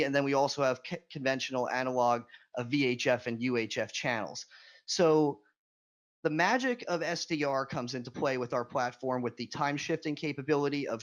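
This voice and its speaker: A man's voice: mid-range voice, muffled recording. An American man presenting technical slides in an informal, explanatory way, as a speaker at a conference.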